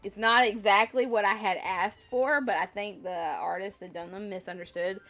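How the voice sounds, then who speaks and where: A woman's voice: mid-range voice, fairly even intonation, normal speed, a bit bright. Congested woman chatting casually on a livestream. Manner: rambling, conversational, thinking aloud. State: slightly congested with a lingering cough. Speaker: livestream host. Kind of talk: casual livestream chat, answering viewers. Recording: music behind the voice. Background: music.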